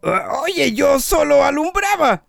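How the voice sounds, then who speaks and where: A helpless, whiny reindeer who frets dramatically. The voice is very high and slow, in a radio play over faint music. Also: male voice, very animated, creaky, a bit bright, full character voice. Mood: defensive, doubtful. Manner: whining, dramatic. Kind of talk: radio play character acting.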